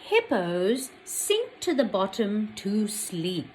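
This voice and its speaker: Slow, expressive Australian woman reading to children. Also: mid-range voice, a little hiss or hum, poor audio. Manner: addresses young children directly, expressive storytelling. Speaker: teacher reading a picture book aloud to children. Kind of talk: children's read-aloud with questions to listeners.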